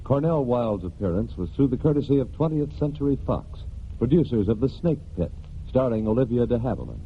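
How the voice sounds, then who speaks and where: A man's voice: mid-range voice, big pitch swings, fairly quick, narrow, tinny audio. A man announcing from a script in formal 1940s radio style. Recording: thin, faintly noisy 1940s radio recording.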